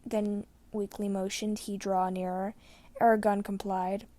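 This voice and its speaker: A woman's voice: mid-range voice, little pitch movement, a little slow, dark tone, no echo. A woman reading fan fiction aloud as the narrator, telling the story dramatically. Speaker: podfic narrator.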